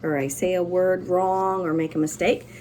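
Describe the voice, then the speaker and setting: A woman's voice: fairly low pitch, flat delivery, normal speed, darkish tone, a little hiss or hum. Woman teacher reading aloud to children. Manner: simple encouraging explanations to young listeners. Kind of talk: children's read-aloud with friendly asides.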